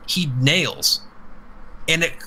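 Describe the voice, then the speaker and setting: Bright, forceful man swapping crude podcast banter. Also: on the high side, extremely slow, slight background noise, low-quality recording. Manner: crude, profane, rambling. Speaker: podcast co-host. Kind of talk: casual podcast banter and storytelling.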